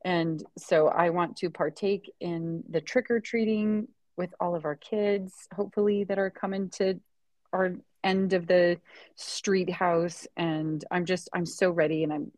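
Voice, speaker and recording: A woman's voice: fairly low pitch, fairly even intonation, a little slow, a little mellow, no echo. A woman in her thirties co-hosting a podcast, chatting casually in an enthusiastic, rambling way. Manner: rambling, enthusiastic, many restarts. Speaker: podcast co-host. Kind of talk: casual chat.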